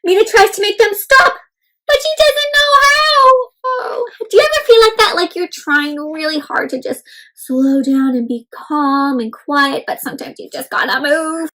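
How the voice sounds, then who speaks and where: High-pitched woman reading interactively to kids. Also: unhurried, poor audio. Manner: expressive, asks the listeners questions, exclaims and adds sound effects. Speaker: children's read-aloud storyteller.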